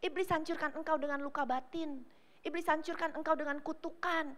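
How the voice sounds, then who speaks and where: High-voiced woman preaching emphatically. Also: steady tone, brisk. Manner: emphatic preaching, often addressing listeners as brothers and sisters; swings between loud declarations and low, soft asides. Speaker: pastor. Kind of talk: sermon. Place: church service.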